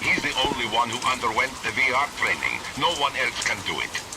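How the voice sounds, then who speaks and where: A man's voice: mid-range voice, sing-song, fairly quick. A man playing a stern, brooding older mercenary commander with a Russian accent in a cinematic video game. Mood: commanding. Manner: grave, commanding. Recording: rain and loud storm noise behind.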